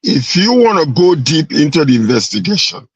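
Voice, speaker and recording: A man's voice: fairly high pitch, sing-song, normal speed, husky, no echo, highs cut off. A Nigerian-accented man speaking as a panelist in a political discussion, emphatic and repeating his phrases.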